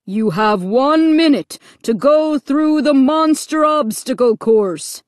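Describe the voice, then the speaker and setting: A woman voicing a menacing monster announcer in an audiobook, booming out slow, drawn-out, thunderous words. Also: expressive, dry recording, studio quality.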